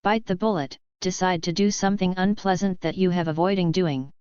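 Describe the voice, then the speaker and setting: Clear low-voiced woman reading idioms. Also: fairly even intonation, fairly quick, darkish tone, no room sound. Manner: reads each line plainly and repeats it. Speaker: English teacher reading idioms. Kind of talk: slow, measured reading of idioms and examples. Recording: dull, slightly lo-fi voice track.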